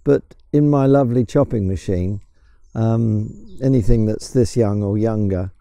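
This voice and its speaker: A man's voice: fairly low pitch, measured pace, creaky, warm tone, no room sound. An older man with an English accent, casually explaining wild plants and mushrooms while walking through woods, with some hesitant restarts. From England. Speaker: mushroom forager guide. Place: woodland outdoors.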